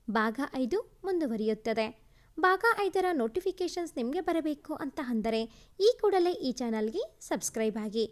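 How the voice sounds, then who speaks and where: A woman's voice: sits high, darkish tone. A woman reading a scripted story as its narrator.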